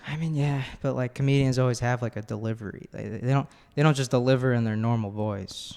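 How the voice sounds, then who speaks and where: Young man with a gravelly, youthful voice. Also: mid-range voice, brisk, a little mellow, in a large hall. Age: young adult. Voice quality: young-sounding voice. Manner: casual, swears freely. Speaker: podcast guest. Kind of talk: casual chat.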